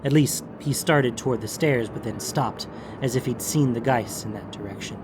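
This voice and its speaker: A man giving measured, theatrical narration of a fantasy audiobook. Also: mid-range voice, background noise.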